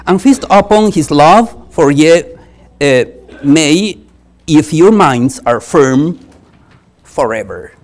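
Visiting preacher, native Spanish speaker with Spanish accent. Unhurried, halting, earnest sermon delivery with wide rises and falls in pitch. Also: a little mellow. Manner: halting, repeats phrases with earnest emphasis. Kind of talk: church sermon.

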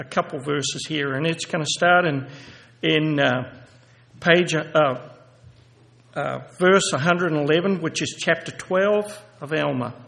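A man giving a sermon and reading scripture aloud, halting with many fillers and restarts, then growing louder and more emphatic as he reads. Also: fairly high pitch. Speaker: lay preacher. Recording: echoey hall reverb.

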